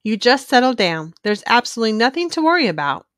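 A woman's voice: a little high, sing-song, quick pace, fairly bright tone, dry recording. A boastful storybook papa bear, acted out by a woman, stubborn and blustering. The speech swings up and down in a dry recording, brushing off every worry. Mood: reassuring. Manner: blustering, dismissive. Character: boastful, sneezy father bear, stubborn, protective.

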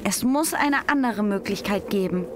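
A woman's voice: mid-range voice, animated, normal speed, natural voice. A woman acting an anxious young hiker who is afraid of heights, in an audio drama. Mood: alarmed, refusing. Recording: noisy outdoor ambience behind dialogue.

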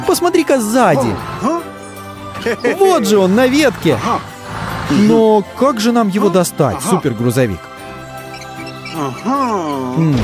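An expressive children's cartoon narrator who talks to the characters with exclamations and urging, recorded with music behind. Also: mid-range voice.

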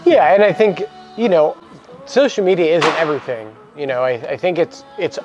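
A young man co-hosting a casual talk about coaching baseball, relaxed and filler-heavy, on a lapel mic in a cluttered equipment room. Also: fairly high pitch, normal speed, dull audio, music in the background. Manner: relaxed, many "you know" fillers. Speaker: baseball program coach and co-host. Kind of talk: casual podcast chat.

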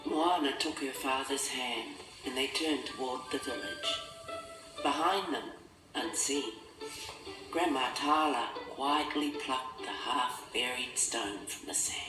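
A man reading a storybook as narrator in a measured, theatrical, dramatic style. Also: medium pitch. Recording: distant, roomy sound under loud music. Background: loud music.

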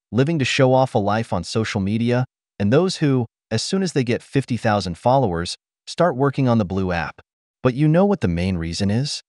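A man's voice: lowish voice, darkish tone, dry recording, studio quality. A man reading scripted voice-over commentary in a lecturing, opinionated manner. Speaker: commentary narrator.

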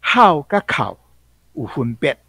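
Man, Taiwanese accent, slow explanatory commentary, teacherly, dry close sound. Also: a little high, sing-song, gravelly, a bit bright, slightly rough, dry recording. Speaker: guest teacher explaining vocabulary.

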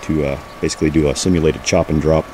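A man with an exceptionally deep voice hosts a casual walk-through vlog. He talks informally and off the cuff, pointing things out as he goes. The recording is made outdoors, with clear background noise around his voice. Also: fairly even intonation, quick pace, warm tone. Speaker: host of a homesteading vlog. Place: outdoors in a backyard garden.

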